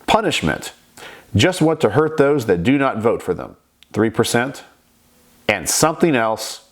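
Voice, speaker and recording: A man hosting his own channel, talking casually to the camera, a bit raspy and stuffed up from a sinus infection, measured and explanatory. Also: mid-range voice. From Texas. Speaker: channel host.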